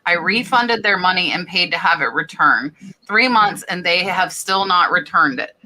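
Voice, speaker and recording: A woman's voice: fairly low pitch, expressive, moderate pace, pushed and bright, rough recording. A woman from Florida with an American accent, hosting a casual live chat and answering viewer questions with blunt, practical advice. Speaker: host of a reseller live show.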